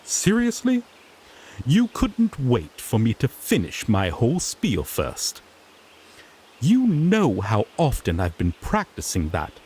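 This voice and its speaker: Sassy, slow-talking griffin knight, acted by a man. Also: medium pitch, huge pitch swings, a little mellow, husky, full character voice. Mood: exasperated, flustered. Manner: pompous proclamations slipping into flustered, sardonic grumbling. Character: sassy, exasperated male griffin knight, newly promoted. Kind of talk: acted fantasy audio roleplay.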